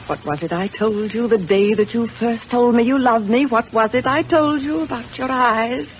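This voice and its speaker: An actress playing a proud, cunning, theatrical wife in emphatic 1940s radio drama style. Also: female voice, a little high, a little slow, natural voice. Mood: tender, coy. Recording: muffled, noisy vintage radio recording.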